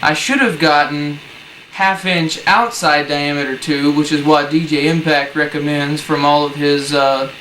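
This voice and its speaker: A man's voice: fairly high pitch, steady tone, a little slow, a bit bright, rough recording. A man presenting a casual, hands-on DIY tutorial with informal, slangy, laid-back phrasing. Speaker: presenter of a DIY how-to video. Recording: noticeable background noise.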